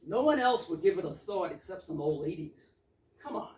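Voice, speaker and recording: A woman's voice: on the low side, lively intonation, normal speed, a little mellow, lightly acted. A woman playing an earnest, gullible young suitor with a romantic, rhapsodic manner in an amateur readers theater comedy. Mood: puzzled, coaxing. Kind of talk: amateur readers theater of a 1920s comic play. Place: indoor readers theater stage, distant microphone. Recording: echoey stage recording from far off.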